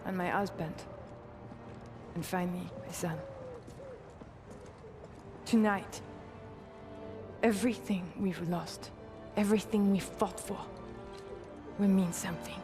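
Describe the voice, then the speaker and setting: Female, resistance leader, slow, solemn, grieving, resolute, war game drama, music behind. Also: fairly low pitch, steady tone. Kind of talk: solemn cinematic game speech.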